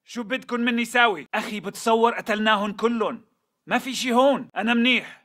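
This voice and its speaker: Urgent high-pitched Levantine militant man. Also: brisk, sharp tone. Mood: calm report. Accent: Levantine Arabic. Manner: urgent, clipped. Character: aggressive male militant fighter on alert. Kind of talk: video game battle chatter.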